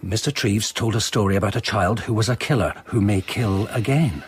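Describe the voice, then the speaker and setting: A man's voice: lowish voice, fairly quick, slightly rough. An actor playing an earnest, persistent ex-serviceman and amateur sleuth, courteous but probing, in a radio drama. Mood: urgent, earnest. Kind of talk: British radio drama acting.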